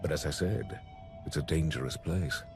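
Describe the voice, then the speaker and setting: A man's voice: rumbling low, normal speed, creaky. A man playing a wary village dweller from an ink world in a video game, cautioning in a theatrical way. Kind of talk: video game character acting. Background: game music.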